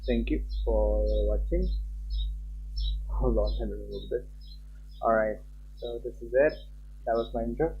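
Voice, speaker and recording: A man's voice: mid-range voice, measured pace, creaky, pauses often, muffled recording, a little hiss or hum. A man streaming live, chatting casually with viewers and talking to himself as he fiddles with his stream settings.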